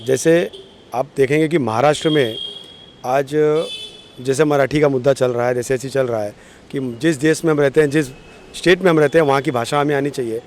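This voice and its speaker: A man's voice: mid-range voice, fairly quick, a little hiss or hum. A man from Maharashtra, India, giving earnest, effusive interview remarks as a party politician, often repeating phrases for emphasis. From born in Maharashtra, India. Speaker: political party office-bearer.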